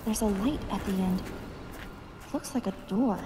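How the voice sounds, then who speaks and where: An actress playing a determined, anguished heroine in a horror audio drama, with slow, intense dramatic delivery. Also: female voice, medium pitch, noisy background, lightly acted. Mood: searching, despondent. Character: determined, anguished heroine seeking a lost man. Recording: loud music behind.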